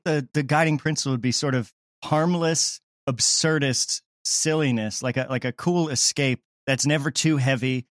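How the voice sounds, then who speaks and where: A man's voice: mid-range voice, no room sound, studio quality. A man talking casually as an interviewed comedy creator, explaining things in a self-deprecating way.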